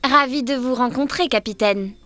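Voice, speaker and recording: A woman's voice: high-pitched, normal speed, sharp tone, soft background music. A woman voicing a friendly young mermaid in a children's cartoon, polite and welcoming in manner.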